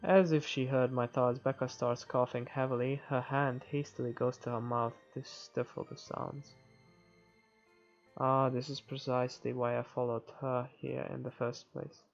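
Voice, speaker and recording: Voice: mid-range voice, level tone, moderate pace, gravelly. A game player reading visual novel narration aloud with offhand comments, now and then stumbling over words. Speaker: video game playthrough narrator. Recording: muffled recording with music behind. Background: game music.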